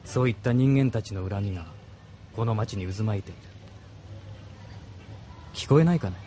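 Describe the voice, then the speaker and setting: A man's voice: fairly low pitch, normal speed, warm tone, close to an everyday voice. A man playing a cynical, commanding military officer in a Japanese audio drama, speaking in a world-weary, dramatic way. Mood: dark, ominous. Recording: noisy street and vehicle ambience behind.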